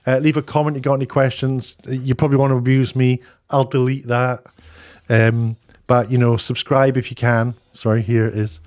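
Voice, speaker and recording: A middle-aged man giving an opinionated vlog rant, informal and emphatic, thinking aloud in stop-start phrases. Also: medium pitch. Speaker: YouTube commentator and host.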